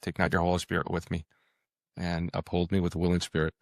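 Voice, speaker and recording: An older man with a low voice answers as a guest author in an interview. He speaks earnestly but haltingly, doubling back and repeating words. The sound is dry, with little room on it. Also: monotone, no echo.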